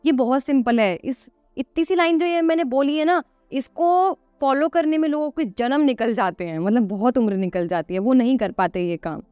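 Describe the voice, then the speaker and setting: A woman's voice: high-pitched, expressive, normal speed, few pauses, darkish tone, clear, resonant tone, dry recording, clean studio sound, soft background music. A woman guest on a podcast, explaining earnestly and conversationally, repeating phrases for emphasis and posing rhetorical questions.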